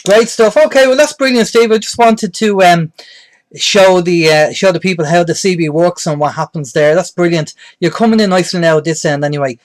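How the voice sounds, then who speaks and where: A man with a slightly high voice presents a practical how-to guide. His delivery is plain-spoken and explanatory, like a host walking beginners through each step. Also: normal speed. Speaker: presenter, radio tutorial host. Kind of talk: instructional tutorial narration.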